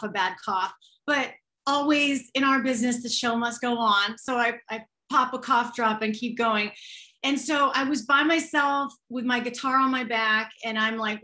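Young woman on a video call, a little raspy, high voice, gushy and chatty, lots of "like" and "um". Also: bright, forceful tone. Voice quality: slightly raspy. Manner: gushing, chatty, quick asides with fillers. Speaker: singer and guest. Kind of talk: casual chat, storytelling. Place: home room. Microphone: webcam on a video call.

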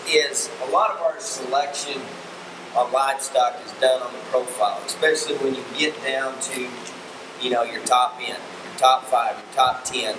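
A middle-aged man, calmly explaining livestock grooming with plenty of ums. He sounds distant from the mic, in a large, roomy indoor barn with a long echo. A steady air-conditioning hum sits behind him. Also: medium pitch. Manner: relaxed, explanatory, with frequent ums and restarts. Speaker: livestock fitting instructor and show judge. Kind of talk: demonstration tutorial. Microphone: far camera mic.

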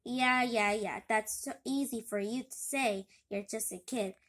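A woman voicing a grumpy, bitter cartoon cat dad, a reformed villain who complains in an emphatic, drawn-out way. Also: fairly high pitch, a bit bright, a little hiss or hum. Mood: gloomy.

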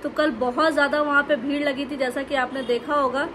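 A young woman vlogging to her phone at home, telling a story in a casual, animated way. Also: sits high, fairly quick, a little hiss or hum. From India. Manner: animated storytelling to the camera, with lively gestures. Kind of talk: casual chatty vlog narration. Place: bedroom at home, indoors. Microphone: phone held close.

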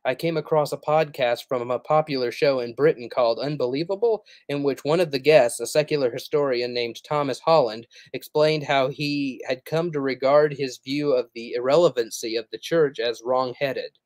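A man in his thirties teaches over a livestream from a small room at home. He speaks earnestly in a middle-pitched voice, pausing with "uh" as he reads long quotes. The sound is dry and close, from a desk mic. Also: a little mellow, dry recording. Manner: earnest, explanatory, frequent "uh" fillers.

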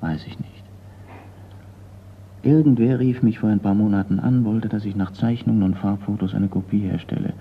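An actor playing a blunt, sardonic, wary forgery expert in a radio crime drama. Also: male voice, fairly low pitch, steady tone, moderate pace, husky. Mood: uneasy. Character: gruff, wary museum craftsman and forgery expert, a man. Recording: dull, noisy radio play recording.

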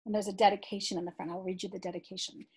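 A middle-aged woman reads a children's book aloud expressively and deliberately, adding chatty explanatory asides for young listeners. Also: medium pitch, little pitch movement, darkish tone, husky.

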